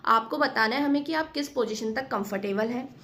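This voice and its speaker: A woman's voice: a little high, a little fast, fairly bright tone, slight background noise. A woman with an Indian accent giving an informal explanatory lecture as a teacher, mixing in English technical terms and often checking in. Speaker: physiotherapy teacher.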